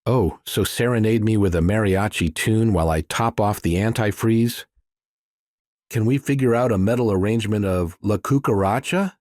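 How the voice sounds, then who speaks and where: A man's voice: lowish voice, moderate pace, darkish tone, no room sound, clean studio sound, pitched above the performer's own voice, natural voice. A man voicing an upbeat, joking gearhead who talks in a casual, teasing way, as an audiobook character.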